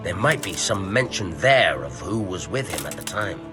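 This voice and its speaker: Game role: courteous local man, mid pitch with swooping delivery, clear music underneath. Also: big pitch swings, normal speed. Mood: helpful. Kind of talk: video game cutscene acting.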